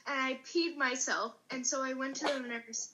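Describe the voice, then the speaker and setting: A woman's voice: sits high, steady tone, normal speed, noisy background. A young woman telling a story while chatting with friends at home. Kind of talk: casual chat with friends. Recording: dull muffled recording.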